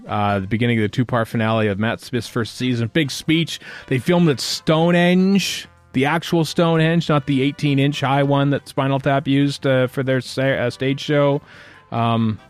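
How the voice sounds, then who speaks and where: A man's voice: medium pitch, normal speed, faint music behind. A man chatting casually as a podcast host, joking and rambling as he interrupts himself. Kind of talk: casual fan podcast chat.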